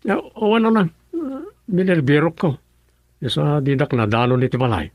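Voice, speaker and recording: A man's voice: fairly high pitch, sing-song, moderate pace, a little mellow, dry recording, clean studio sound. A man with an Ilocano accent playing a distraught husband searching for his wife in a radio drama, agitated and questioning. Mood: distressed.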